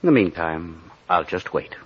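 An actor playing a sly, patient police inspector in an old-time radio drama, needling with drawn-out, feigned casualness. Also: male voice, deep voice, fairly even intonation, heavy background noise, close to an everyday voice. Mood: assured. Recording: dull, lo-fi old radio recording.

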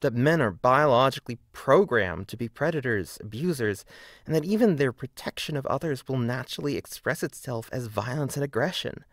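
A man reading scripted video essay narration in an earnest, measured way with deliberate emphasis. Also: medium pitch, expressive, no echo, studio quality.

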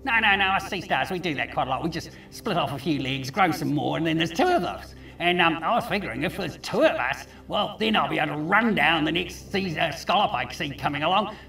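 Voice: medium pitch, expressive, fairly quick, gravelly, hardly any pauses, bright, forceful tone, roomy sound, recorded from a distance, faint music behind, poor audio. A performer voicing a hungry, scheming sea star in a children's puppet show, hesitant and matter-of-fact.

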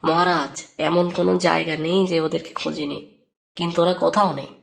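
A man's voice: on the high side, steady tone, brisk, gravelly, a bit bright. A man voicing an obedient, deferential royal guard in a cartoon. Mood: weary, worried. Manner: deferential to his master. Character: obedient royal guard, dutiful servant of a king.

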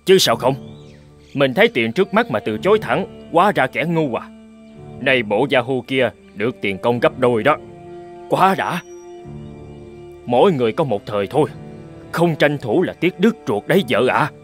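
A man playing a stubborn, greedy hunter and father in an animated folk tale, brusque, boastful and dismissive. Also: mid-range voice, moderate pace, long pauses, natural voice. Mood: greedy, insistent. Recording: music behind the voice.

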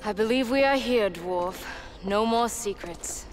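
A woman's voice: medium pitch, a little slow, lightly acted. An actress playing a poised female elf warrior in a fantasy game, commanding and formal. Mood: cool. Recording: game audio under loud music. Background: loud music.

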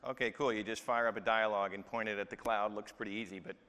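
A man's voice: lowish voice, steady tone, fast, pushed and bright. A man hosting a live product demo on stage, casual and joking, asking leading questions. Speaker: demo host. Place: large stage, hall. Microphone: lapel mic. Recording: reverberant hall.

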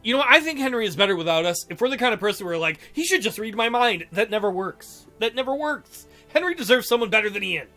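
A man's voice: sits high, animated, quick pace, few pauses, sharp tone, soft background music. A man reading a visual novel aloud in a theatrical way, adding irreverent joking commentary.

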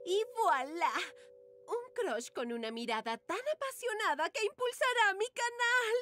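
Haughty, high-voiced teen diva. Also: female voice, fairly bright tone, no room sound, exaggerated voice. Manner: haughty, gloating, drawn-out theatrical delivery. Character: vain, scheming teenage girl internet diva. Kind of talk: dubbed cartoon acting.

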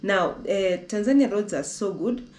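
A woman's voice: mid-range voice, lively intonation, moderate pace, darkish tone. A young woman from Kenya talks through road-trip tips from a living-room sofa. She chats loosely, doubling back and repeating phrases as she goes. The room around her sounds echoey and live. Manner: chatty, repeats herself, restarts often. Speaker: travel vlogger. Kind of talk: casual conversational travel talk.

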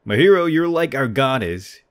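High, gushing gamer guy, played by a man. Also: normal speed, natural voice. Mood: friendly, grateful. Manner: excitable, fawning. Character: eager, gushing male online game guildmates. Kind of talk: manga dub.

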